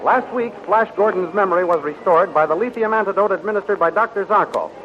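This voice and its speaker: Old timey 30s radio announcer guy, high voice, dramatic scripted narration, muffled sound w faint music. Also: quick pace. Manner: declamatory, dramatic. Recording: dull 1930s radio recording, faint music.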